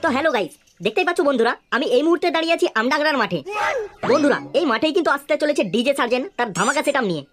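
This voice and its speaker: A man voicing a naive, boastful young village vlogger in a comedy cartoon, with a West Bengal accent and animated delivery through a pitched-up effect. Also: very fast, faint music behind. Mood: eager presenting. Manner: animated, exclamatory. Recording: clearly noisy track with clicks.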